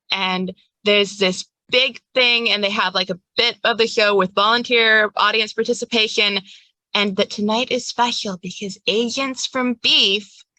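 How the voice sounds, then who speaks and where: A woman's voice: medium pitch, bright, forceful tone, dry recording, low-quality recording. A woman game master giving a casual, playful and wryly hinting recap of a tabletop game on a livestream.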